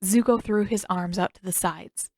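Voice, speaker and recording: A woman reading scripted fiction as an expressive audiobook narrator. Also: medium pitch, moderate pace, dry recording. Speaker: narrator and author.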